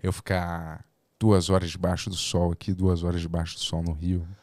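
A man in his forties with a Carioca accent, a podcast guest chatting in a relaxed, rambling way and often correcting himself mid-sentence, recorded on a boom mic in a small studio. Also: moderate pace, no echo. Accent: Carioca Brazilian Portuguese. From born in Portugal, raised in Rio de Janeiro. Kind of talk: casual chat. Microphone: boom mic and headphones.

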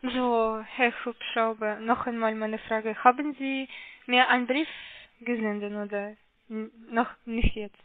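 A woman's voice: on the high side, steady tone, unhurried, dry recording. A woman call center agent, not a German speaker, asking hesitant, repetitive, polite questions in a phone sales conversation. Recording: muffled, narrow telephone line.

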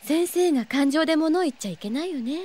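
Gentle, high-voiced young teacher. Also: female voice, animated, fast. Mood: wistful. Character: gentle, wistful young female student teacher. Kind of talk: Japanese audio drama acting.

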